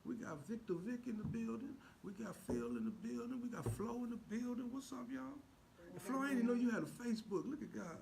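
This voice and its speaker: A man's voice: extremely high pitch, animated, brisk, gravelly. A man co-hosting a livestream with playful, teasing banter and mock-churchy exclamations. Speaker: co-host greeting live viewers. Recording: noisy live video stream.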